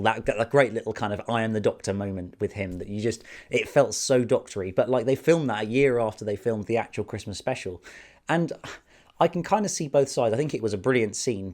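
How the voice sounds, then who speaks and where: Man, hoarse from a cold, slightly low voice, eager chatty review. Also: darkish tone. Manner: enthusiastic, chatty, many fillers. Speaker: video reviewer. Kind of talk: casual spoken review.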